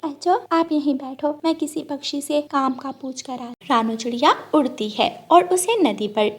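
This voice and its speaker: Female storyteller, high voice, scripted narration for a kids' cartoon tale.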